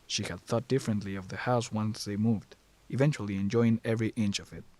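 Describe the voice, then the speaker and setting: A man's voice: fairly low pitch, steady tone, fairly quick, warm tone. A man narrating a scripted horror story as the narrator, recorded close to the mic.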